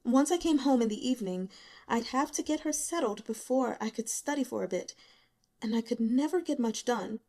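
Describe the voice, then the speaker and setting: A woman's voice: fairly high pitch, lively intonation, moderate pace, darkish tone. Bitter, exhausted young female student, acted by a woman. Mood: weary, aggrieved. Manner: sarcastic, pained, emphatic.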